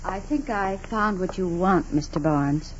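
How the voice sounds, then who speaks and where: An actress playing a firm, forthright nurse in a 1940s radio drama. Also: female voice, fairly low pitch, measured pace, close to an everyday voice. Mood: grave. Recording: muffled, noisy 1940s radio recording.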